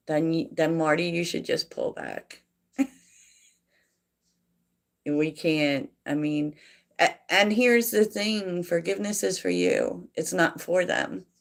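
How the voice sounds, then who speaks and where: Soft-voiced tarot lady chatting to her stream, low, kinda raspy fry, takes her time. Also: steady tone, slow pace, pauses often. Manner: soft-spoken, reflective, laughs often. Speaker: tarot reader hosting a livestream. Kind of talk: casual livestream chat answering viewers.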